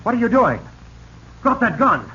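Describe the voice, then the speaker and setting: A man's voice: very high-pitched, wildly swooping pitch, moderate pace, sharp tone, heavily acted. A man playing a proud, cornered company boss in a 1940s radio drama, agitated and indignant. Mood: frightened. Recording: muffled, noisy 1940s radio recording.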